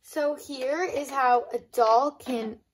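High-voiced young woman reviewing toys. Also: takes it slowly. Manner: enthusiastic, simple explaining with frequent "like" and "I love". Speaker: doll toy reviewer. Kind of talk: casual toy review vlog. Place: small room at home.

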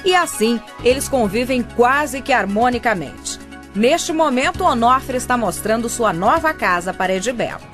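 A woman's voice: fairly high pitch, animated, normal speed, a bit bright. A woman with a Brazilian accent narrating a radio soap from a script. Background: music.

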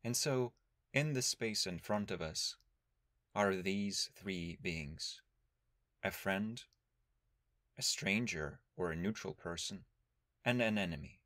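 A man leading a guided meditation as a teacher, speaking in a calm, measured, instructional way with long pauses. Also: deep voice, dry recording, studio quality.

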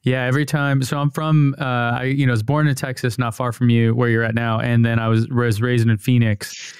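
A man in his thirties hosting a podcast, chatting in a relaxed, friendly way at a studio mic in a dim home studio. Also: medium pitch, normal speed, clear, resonant tone, no room sound. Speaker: podcast host. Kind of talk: casual interview chat. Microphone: studio mic on boom, headphones.

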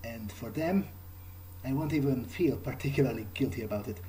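A man improvising in-character dialogue as a gamer, in a hesitant, low-key way. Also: moderate pace, warm tone, a little hiss or hum, poor audio.

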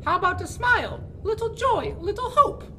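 A woman's voice: very high voice, animated, moderate pace, pushed and bright, slight background noise, heavily acted. A woman voicing a squirmy, impolite female squid who scolds in a storybook puppet show. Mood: scolding, pushy.

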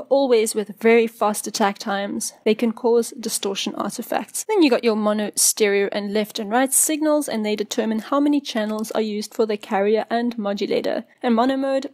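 A woman narrating an instructional software tutorial as its presenter, explaining things in a casual way into a close mic. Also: fairly high pitch, no echo.

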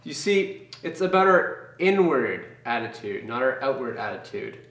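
A man's voice: medium pitch, sing-song, a little slow, a bit bright, far from the mic. A young man leading an informal Bible study to camera, talking earnestly and conversationally with fillers and restarts. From Canada. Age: young adult. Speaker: campus ministry leader. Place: small room with brick wall and bookshelves, indoors. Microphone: camera mic from a few feet away. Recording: echoey room, voice set back from the mic.

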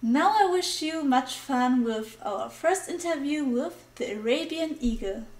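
A woman hosting her art channel, reading a brisk scripted intro and interview questions. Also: high-pitched, lively intonation, a little mellow, slight background noise. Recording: echoey room.

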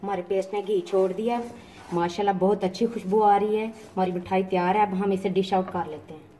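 A woman, host of a cooking channel, calmly narrating each step of a home recipe in a homely, plain way. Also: mid-range voice, brisk, slight background noise, rough recording. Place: home kitchen, hands at the stove.

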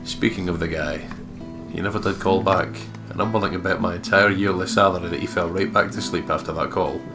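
Male let's play co-host, very low voice, casual and joking, reads aloud over loud music. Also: steady tone, fairly quick. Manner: joking, sweary asides. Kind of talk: casual game commentary and read-aloud narration.

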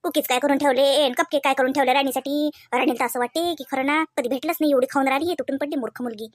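Woman acting a shrill, snobbish cartoon sister-in-law. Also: steady tone, rapid-fire, dry recording, close to an everyday voice. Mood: resentful, grumbling. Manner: affected, condescending, with snide muttered asides. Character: snobbish, boastful, envious visiting sister-in-law. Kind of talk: exaggerated cartoon comedy dialogue.

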